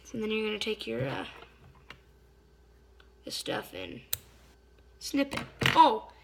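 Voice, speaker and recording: A slightly hoarse woman giving a casual hands-on fly-tying tutorial, chatty and often stopping to search for a word. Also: medium pitch, wildly swooping pitch, slow, background noise. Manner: chatty, stops to search for words.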